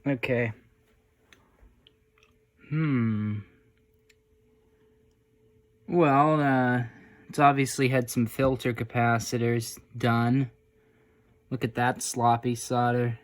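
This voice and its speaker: A man's voice: mid-range voice, fairly even intonation, slow, pauses often. A man giving casual, hands-on repair commentary as a hobbyist, thinking aloud in an informal way. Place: indoors.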